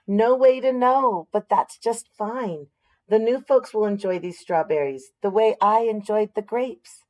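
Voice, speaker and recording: A woman voicing a gentle, accepting gardener neighbour in a storybook, calm and reassuring. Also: mid-range voice, a little slow, pitched above the performer's own voice, close to an everyday voice. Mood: calm acceptance.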